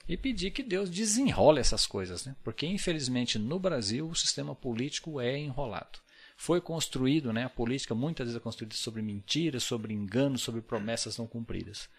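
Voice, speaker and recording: A man's voice: mid-range voice, lively intonation, brisk, breathy edge. Brazilian guy on a podcast, earnest and thoughtful, voice jumps around a lot. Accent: Brazilian Portuguese. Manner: earnest, reflective, many tag questions. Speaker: podcast guest. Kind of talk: casual podcast conversation.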